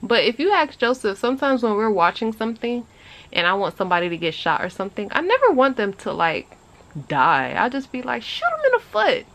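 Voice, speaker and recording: A woman in her twenties chatting casually to a phone camera on an outdoor porch, animated and rambling. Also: fairly quick. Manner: animated, chatty, rambling with lively pitch jumps. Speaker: vlogger. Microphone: phone camera at arm's length.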